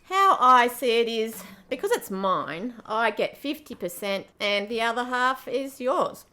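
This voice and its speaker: A woman's voice: a little high, animated, measured pace, bright, forceful tone. A woman playing a bold, shrewd space pirate, firm and matter-of-fact, in an amateur sci-fi radio play. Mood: bargaining.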